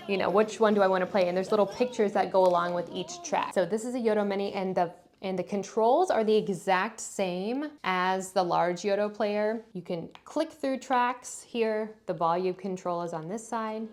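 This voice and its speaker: A woman talks through a review in a casual, explanatory way. Her pitch sits in an ordinary middle range, with light music behind her. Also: moderate pace, few pauses, darkish tone. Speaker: parent reviewing kids' toys. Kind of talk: casual product review to camera.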